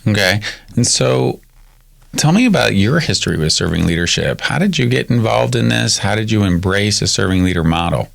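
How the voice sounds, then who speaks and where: A man's voice: on the low side, expressive, a little fast, vocal fry. A man hosting a podcast interview, friendly with hesitant restarts. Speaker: podcast host. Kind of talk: conversational interview.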